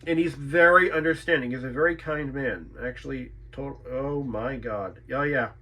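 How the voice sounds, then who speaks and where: Bright-voiced man thinking aloud over a repair. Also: medium pitch, normal speed. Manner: thinking aloud, repeating himself, mild swearing. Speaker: radio repair technician and channel host. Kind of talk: unscripted talk while inspecting and repairing.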